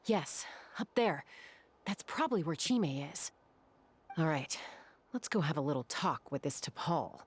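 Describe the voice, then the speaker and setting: A woman's voice: deep voice, animated, husky, full character voice. An actress playing a cool, self-assured young woman gunslinger in a video game, speaking composedly and matter-of-factly. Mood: composed, resolute. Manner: composed, matter-of-fact, deliberate.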